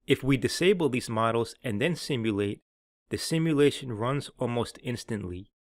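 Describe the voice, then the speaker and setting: A man presenting a scripted software tutorial, explaining in a clear, orderly way. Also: medium pitch, lively intonation, moderate pace, no echo, clean studio sound. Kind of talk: scripted instructional narration.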